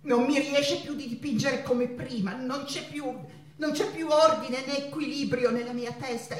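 An actress playing an ailing, passionate woman painter in a theatrical monologue, halting and repeating her words with dramatic pauses. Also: sits high. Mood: distraught, restless. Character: ailing, passionate woman painter, tormented yet playful, in love. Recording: roomy, distant stage pickup with guitar. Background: plucked guitar music.

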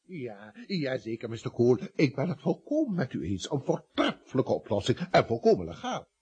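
A man's voice: medium pitch, big pitch swings, normal speed, a little mellow, breathy edge. A man acting in a radio comedy as a blustering, dry, grudgingly generous office boss. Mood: smooth, then dry.

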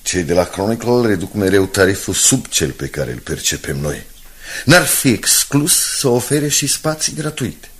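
An actor playing a sober, shrewd senior newspaperman in a Romanian radio drama, explaining things in a measured way. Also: on the low side, sing-song, fairly bright tone, slightly rough, exaggerated voice. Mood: concerned.

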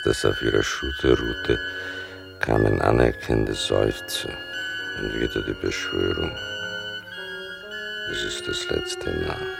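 An actor playing a son who narrates his mother's story in a radio play, in an intimate, measured, gravelly voice. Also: full character voice. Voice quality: very deep, gravelly.

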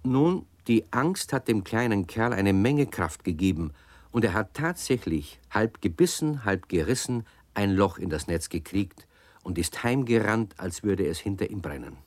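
A man's voice: fairly low pitch, animated, a little slow, a little mellow, no room sound. A man narrating a children's radio story, explaining events to young listeners and asking them questions.

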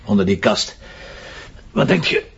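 An actor with a Flemish accent playing a blunt, sardonic police commissioner who makes dry remarks. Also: male voice, on the low side, very animated, a little slow, creaky, breathy edge, heavily acted. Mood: investigating. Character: blunt, wry middle-aged male police commissioner. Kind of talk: TV crime drama acting. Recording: dull lo-fi TV soundtrack.

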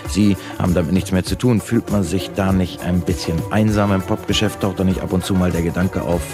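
An actor playing a slick, deferential radio talk show host in a satirical play, polite and hedging, with showy presenter patter. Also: male voice, low voice, steady tone, fairly quick, over music, lightly acted. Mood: showy, probing.

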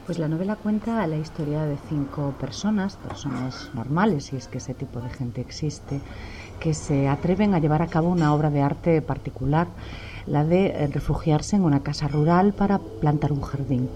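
A middle-aged woman from Galicia, a novelist answering interview questions in a measured, reflective way, pausing often with "eh". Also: low voice, mellow, dull audio, a little hiss or hum. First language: Spanish. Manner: measured, reflective, many "eh" pauses.

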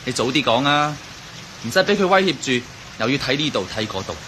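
A gossipy, grumbling sidekick in a radio drama, with a Hong Kong Cantonese accent. His voice is medium in pitch and nags along. Rain is clearly audible behind him. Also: expressive, quick pace, sharp tone, slight background noise.

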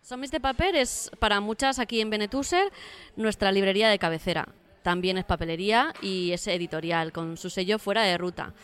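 A woman's voice: medium pitch, a little fast, fairly bright tone, clean tone. A woman with a Valencian Spanish accent, interviewing conversationally as a radio co-host. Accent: Valencia region, Spain.

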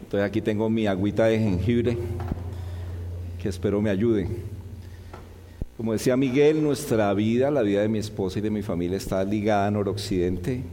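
Slightly hoarse preacher in a reverberant hall. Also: male voice, fairly low pitch, fairly even intonation, mellow, a little hiss or hum. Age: middle-aged. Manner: didactic, repeats and lists key points in measured phrases. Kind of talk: expository Bible sermon. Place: large church hall.

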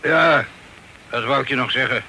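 An actor playing a curt, threatening pirate in an old radio play with faint hiss. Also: male voice, medium pitch, moderate pace, gravelly, bright, forceful tone, poor audio. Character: gravelly pirate sending a captive off.

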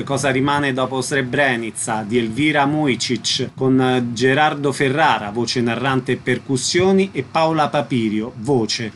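A man's voice: mid-range voice, fairly bright tone, a little hiss or hum. Male radio announcer, mid register, reading scripted programme information.

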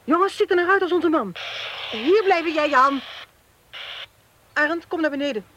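An actress playing a brisk, caring but impatient policewoman in a naturalistic radio play, direct and down-to-earth. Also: high-pitched, expressive, fairly bright tone. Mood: urgent. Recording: radio drama with background noise.

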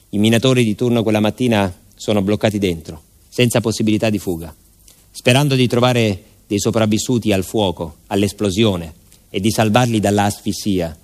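A man giving solemn, measured, scripted documentary narration for radio theatre, with music behind him. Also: lowish voice, darkish tone. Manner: solemn, measured, deliberate phrasing. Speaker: actor-narrator.

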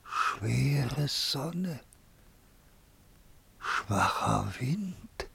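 Gravelly, frail blind old man. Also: mid-range voice, big pitch swings, bright, forceful tone, full character voice. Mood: bewildered, weary. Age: older. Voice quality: husky, gravelly, breathy whispering. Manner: halting, drawn-out, pleading. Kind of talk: poetic radio drama acting.

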